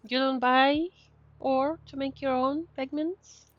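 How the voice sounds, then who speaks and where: Female streamer: fairly high voice, unhurried, casual reactive game commentary on a slightly muffled mic. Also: steady tone. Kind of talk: casual game playthrough commentary, reading dialogue aloud. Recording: dull band-limited mic, game music faintly behind. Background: faint game music.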